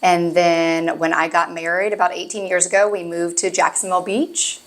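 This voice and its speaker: A woman in her forties from Florida, an occupational therapist, answering interview questions in an upbeat, quick-witted way. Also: fairly low pitch, flat delivery. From Florida, USA. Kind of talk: casual interview chat.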